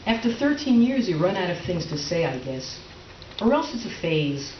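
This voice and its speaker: Woman acting prim middle-aged wife, mild sarcasm, stage comedy, animated pitch, echoey room. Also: normal speed. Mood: mild, defensive. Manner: dry, hesitant, hedging, mildly sarcastic. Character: prim, quietly resentful middle-aged wife, politely accommodating. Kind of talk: readers theater stage comedy. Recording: roomy, distant, dull, faintly noisy stage recording.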